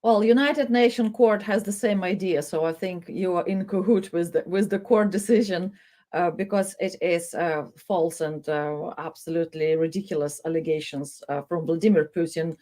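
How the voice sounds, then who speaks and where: A woman's voice: fairly low pitch, steady tone, normal speed, mellow. A woman hosting a news interview, speaking hesitantly with many fillers and restarts. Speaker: host.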